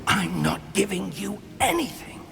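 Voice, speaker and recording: Brief: scholarly wizard mentor, game drama, slightly high male pitch, gravelly creak, music behind. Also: big pitch swings, a little slow, full character voice. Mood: wary defiance. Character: learned, earnest older male wizard professor, curious and resolute. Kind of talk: dramatic video game acting.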